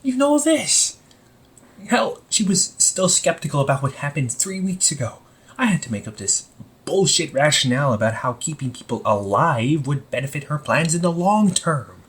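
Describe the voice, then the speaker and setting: A man playing an anxious, wry young supervillain in a casual audio roleplay, talking informally with exasperated sighs and halting phrases. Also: fairly high pitch, big pitch swings, fairly bright tone. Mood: defensive, pleading. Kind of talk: amateur audio roleplay, casual conversational acting.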